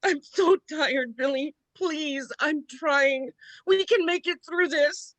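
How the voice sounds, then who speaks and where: Woman acting a high-voiced, weary, remorseful mother. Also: slow pace, fairly bright tone, no room sound, rough recording. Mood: tearful, pleading. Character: weary, remorseful middle-aged mother, tender. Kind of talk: radio play acting and first-person narration.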